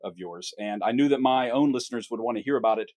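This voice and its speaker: An upbeat man hosts an interview podcast, thanking his supporters before turning to his guest. His voice sits at an ordinary pitch and sounds close and dry, with no room around it. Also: quick pace, a little mellow, no room sound. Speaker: podcast host. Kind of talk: podcast interview with a sponsor read.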